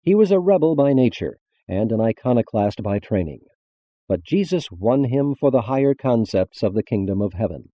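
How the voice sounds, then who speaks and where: A man reading a religious text as a formal scripted narration, measured and carefully enunciated. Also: mid-range voice, lively intonation, no room sound, muffled recording.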